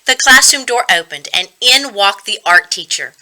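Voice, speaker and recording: A woman reading a children's story aloud as a reading coach, deliberate and expressive. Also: medium pitch, poor audio.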